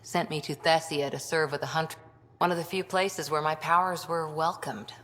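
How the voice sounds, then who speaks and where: Tough ex-commando woman, low voice, wry n blunt, game cutscene, big reverb. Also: steady tone, moderate pace, fairly bright tone. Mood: guarded, reflective. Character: tough, blunt female human ex-commando soldier. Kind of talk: video game cutscene acting. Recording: long hall reverb.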